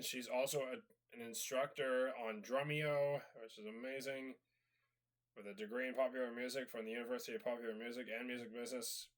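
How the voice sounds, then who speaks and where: A man with a mid-range voice talks his way through a casual rant to camera. He sounds a bit raspy and sniffly from a cold, and he rambles, padding his sentences with fillers and repeated asides. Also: mid-range voice, rough recording. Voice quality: slightly raspy. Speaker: video host. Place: at home.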